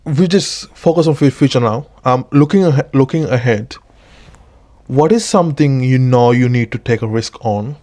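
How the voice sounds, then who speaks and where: A man's voice: medium pitch, dark tone. Man from Sri Lanka hosting a chat. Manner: encouraging, many quick agreeing fillers. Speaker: podcast host. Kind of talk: casual interview. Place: room at a dental service workplace.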